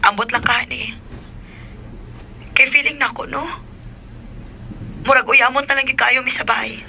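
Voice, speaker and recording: A sharp, very bright telephone-filtered female voice plays a secret girlfriend in a radio drama. She sounds aggrieved and insistent, speaking with a Cebuano accent. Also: high-pitched, steady tone, moderate pace, creaky, slight background noise, heavily acted, low-quality recording.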